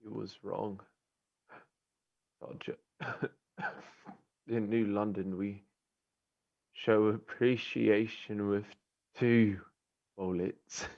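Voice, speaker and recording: A dull, lo-fi recording of a man acting a dying young lad in a stage play. His low voice creaks and crawls in faint, broken phrases, weak yet defiant. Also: steady tone, extremely slow, pauses often, a little mellow, exaggerated voice. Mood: weak, fading. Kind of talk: dramatized stage play reading.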